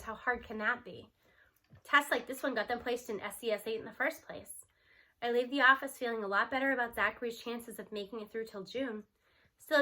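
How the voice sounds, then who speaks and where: A woman narrating a storybook aloud in an expressive first-person read-aloud style. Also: on the high side.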